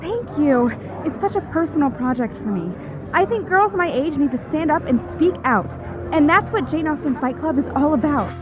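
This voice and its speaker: Very high-voiced teenage starlet. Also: female voice, animated, moderate pace, phone-quality sound, music in the background. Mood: earnest. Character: young teenage girl film star, bubbly and earnest. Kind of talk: red carpet interview acting in audio drama.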